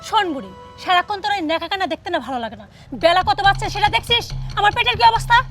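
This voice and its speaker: A spiteful daughter-in-law rattles off harsh, mocking taunts in a very high, rapid voice, as a woman plays her. She badgers without letup, with faint music under her. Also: sing-song, a bit bright, full character voice. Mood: berating. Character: cruel hungry daughter-in-law, scolding and spiteful. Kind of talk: melodramatic domestic drama acting.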